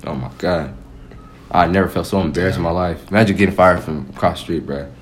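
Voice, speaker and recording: A young man with a low voice chats casually as a podcast co-host. He tells stories in loose, slangy, profane language and acts out what people said. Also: fast talker, a little hiss or hum. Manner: slangy, profane, animated retelling with acted quotes. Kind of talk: casual chat and storytelling.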